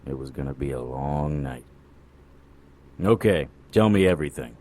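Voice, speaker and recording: An actor playing a cynical, world-weary private detective in a noir radio drama, wry and sardonic. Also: male voice, very deep voice, expressive, normal speed, darkish tone. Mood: resigned. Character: cynical male hard-boiled occult private detective.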